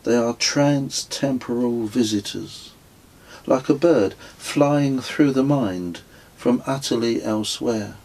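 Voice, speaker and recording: A man reading his own literary travel story as scripted narration, in a measured, descriptive way. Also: medium pitch, darkish tone. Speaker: author reading own book.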